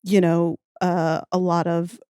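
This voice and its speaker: A woman's voice: lowish voice, little pitch movement, slow pace, warm tone, clean tone, dry recording, clean studio sound. A woman in her thirties chatting as a podcast guest in a thoughtful, wry, nerdily enthusiastic way. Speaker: podcast guest, audio fiction writer. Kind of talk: casual interview conversation.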